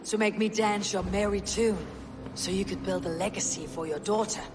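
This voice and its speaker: An actress playing a stern, resolute Viking warrior in a video game cutscene, grave, blunt and commanding. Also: female voice, medium pitch, fairly even intonation, normal speed, noisy background, natural voice. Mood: cold, accusing.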